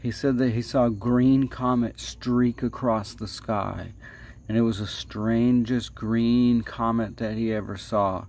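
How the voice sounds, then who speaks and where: A man telling stories casually as a livestream host, halting and repeating himself, playfully dramatic with stretched words and hushed asides. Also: medium pitch, fairly even intonation. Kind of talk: casual rambling spoken storytelling.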